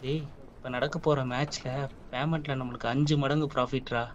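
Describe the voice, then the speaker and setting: A man's voice: mid-range voice, steady tone, fairly quick, dull audio, slight background noise, lightly acted. A man playing a persuasive young betting tout, talking in insistent, chummy sales patter in a short film. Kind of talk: naturalistic short film acting in colloquial Tamil.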